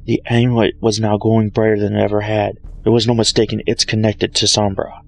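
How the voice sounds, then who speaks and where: A man's voice: lowish voice, little pitch movement, brisk, vocal fry, rough recording. A man reading a story aloud as a dramatic narrator, recorded on an amateur microphone. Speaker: fan fiction reader. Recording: music behind, amateur microphone.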